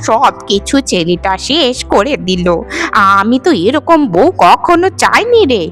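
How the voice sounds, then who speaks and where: A woman's voice: on the high side, very animated, a little slow. A woman voicing a domineering, scornful middle-aged mother in a melodramatic cartoon, bossy and scolding. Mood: shocked, outraged. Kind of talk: Bengali cartoon dubbing, melodrama. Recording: music behind the voice. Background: background music.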